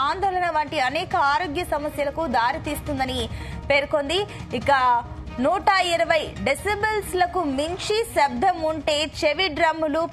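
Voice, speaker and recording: A woman presents the news over a light music bed. Her delivery is scripted, formal and measured, as a studio bulletin reader would give it. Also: high voice.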